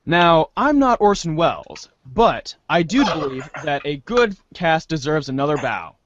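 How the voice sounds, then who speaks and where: A man's voice: fairly high pitch, sing-song, measured pace, dull audio, noisy background. A man hosting a show in a theatrical presenting style. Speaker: host.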